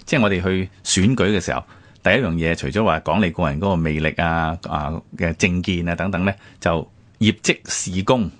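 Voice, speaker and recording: Low-voiced, quick Hong Kong Cantonese man. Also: no room sound. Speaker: radio programme co-host. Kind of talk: conversational history commentary on radio.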